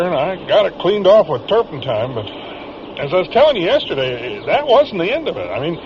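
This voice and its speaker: An actor playing a plainspoken small-town coal dealer in his fifties, baffled and halting, in a vintage radio drama. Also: on the high side. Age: 50s. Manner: folksy, halting, exasperated. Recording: dull, noisy vintage radio recording.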